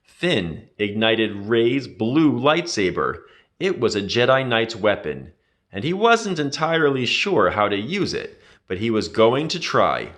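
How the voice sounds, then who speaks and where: A man's voice: mid-range voice, big pitch swings, a little slow, a bit bright. A man reading a children's adventure story aloud with dramatic, emphatic storytelling. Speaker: storybook reader.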